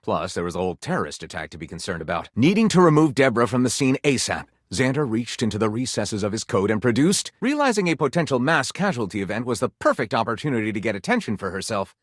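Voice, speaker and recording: Game storyteller: pulpy detective-tale narrator, arch and melodramatic, highly animated pitch, dry close recording. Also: male voice, fast, hardly any pauses, no room sound. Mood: arch, dramatic. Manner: melodramatic, arch, tongue-in-cheek. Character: theatrical storyteller narrating pulpy detective adventures with mock gravity. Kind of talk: dramatic scripted story narration.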